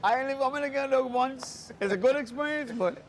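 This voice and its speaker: A man's voice: extremely high pitch, quick pace, a little hiss or hum. A man chatting casually as an interview guest, telling anecdotes and repeating phrases for emphasis. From Bermuda. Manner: animated anecdotes, quotes people, repeats phrases. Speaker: interview guest, restaurant owner. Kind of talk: casual storytelling chat.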